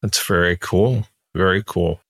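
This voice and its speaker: A man's voice: deep voice, unhurried, gravelly, a bit bright, no echo, clean studio sound. A man hosting a casual interview podcast in a laid-back, conversational way. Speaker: podcast host.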